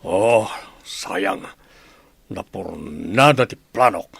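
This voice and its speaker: A man's voice: deep voice, lively intonation, very slow, gravelly, fairly bright tone, breathy edge, dry recording, heavily acted. An actor playing a scheming, insistent village captain in a radio drama, grumbling as he plots. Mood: scheming, frustrated. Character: scheming male village captain plotting to sell a baby. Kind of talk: Ilocano radio drama acting.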